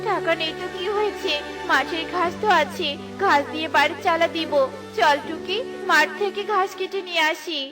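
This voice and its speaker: A very high-pitched, gentle mother bird from a fairy-tale cartoon , acted by a woman. She is honest and patient, with a soft, pleading, moralising manner. Music plays clearly behind her. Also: normal speed. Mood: firm, kindly.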